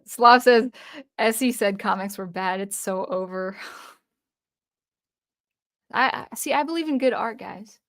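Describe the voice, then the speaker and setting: A woman's voice: on the high side, unhurried, long pauses. A woman from the US Northwest hosting a casual livestream, reading viewer comments aloud and answering them in a chatty way with frequent restarts. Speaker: livestream host.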